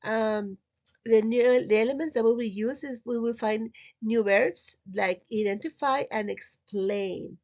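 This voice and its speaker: A woman's voice: on the high side, measured pace, darkish tone. A middle-aged woman from Peru with a Spanish accent, teaching a recorded slide lesson in hesitant, simple phrases with repeated words. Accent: Peruvian Spanish. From Lima, Peru. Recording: slightly muffled, dull audio.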